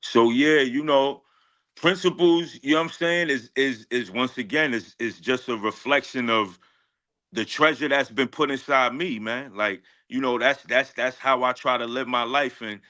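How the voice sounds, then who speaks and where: A man's voice: medium pitch, normal speed, pushed and bright. A man, a rapper talking casually in an interview with heavy slang, confident and emphatic, often repeating his phrases. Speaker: guest rapper.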